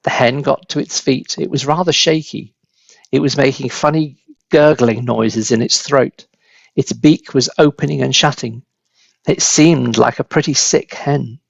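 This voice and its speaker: Gravelly man reading a children's story. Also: mid-range voice, measured pace, dry recording, highs cut off. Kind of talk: expressive scripted narration.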